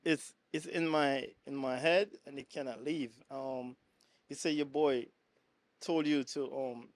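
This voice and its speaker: A man's voice: medium pitch, steady tone. A male podcast host with a mid-range voice, putting questions to his guest. He restarts and repeats words as he works out what to ask, keeping the tone easygoing. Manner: halting, repeats words while asking. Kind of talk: casual interview questions.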